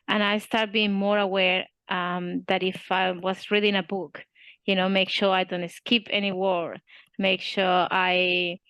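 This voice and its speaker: A young woman with a Spanish accent and a gravelly edge to her voice. She speaks hesitantly, pausing on fillers and restarting her sentences. The sound is dry and close. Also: medium pitch, fairly bright tone, no room sound. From Spain. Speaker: student interviewee. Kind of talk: casual interview.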